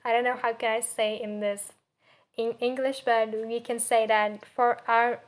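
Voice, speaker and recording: A young woman with a Turkish accent giving a spoken student presentation, haltingly searching for words and pronouncing example words carefully. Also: fairly high pitch, little pitch movement. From Turkey. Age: young adult. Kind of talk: spoken assignment presentation on pronunciation.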